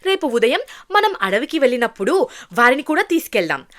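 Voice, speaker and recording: A woman's voice: sits high, very animated, fairly quick, a bit bright. A woman voicing a scheming, hard-hearted stepmother in a fairy tale, insistent and persuading.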